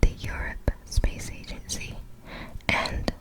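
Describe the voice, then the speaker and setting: A woman reading facts in a breathy ASMR whisper, halting and apologetic when she stumbles over words. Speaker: ASMR video creator.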